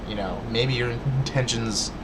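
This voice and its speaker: A man's voice: medium pitch, a little slow, heavy background noise. A man ranting to his viewers in a casual, earnest way, with a stutter and many restarts and fillers. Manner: earnest, lecturing, addresses viewers directly. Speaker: vlogger. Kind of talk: casual rant to camera.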